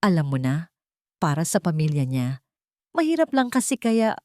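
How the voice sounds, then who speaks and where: Lady reader doing sly scheming woman for audiobook drama, fake worried, insinuating, mellow tone, dry sound. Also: sits low, sing-song, moderate pace, dry recording. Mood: sly, suspicious. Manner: insinuating, falsely concerned. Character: Maribel, scheming woman feigning sweet concern, insinuating.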